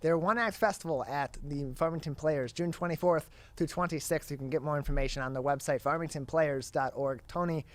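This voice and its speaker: A man with a somewhat high voice hosts a broadcast interview. He delivers information and questions in a polished, announcer-like way, repeating key details. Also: a little fast, hardly any pauses, dry recording. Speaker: interview host.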